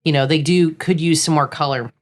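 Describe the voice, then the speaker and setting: A woman's voice: deep voice, steady tone, fairly quick. A middle-aged woman from Florida, co-hosting a casual chat and running through opinions in a chatty, opinionated way. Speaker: co-host.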